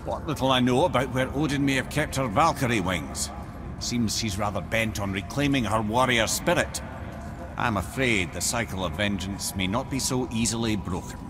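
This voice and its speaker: An actor playing a wise, wry old storyteller with a Scottish accent in a video game, measured and lyrical. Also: male voice, mid-range voice, noisy background, natural voice. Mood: solemn. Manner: measured, lyrical, fond toward the young listener.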